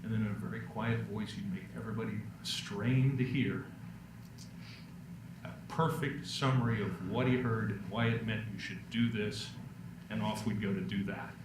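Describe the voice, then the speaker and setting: Deep-voiced man presenting in an echoey room. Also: normal speed. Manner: relaxed storytelling with self-deprecating jokes. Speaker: workshop presenter. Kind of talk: public speaking workshop Q&A. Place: lecture room. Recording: echoey room, distant mic, background noise.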